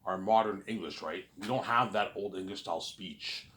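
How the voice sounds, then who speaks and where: Low-voiced rambling man, mild Canadian accent. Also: moderate pace, bright, forceful tone. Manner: rambling, repeats and restarts words. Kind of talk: casual monologue.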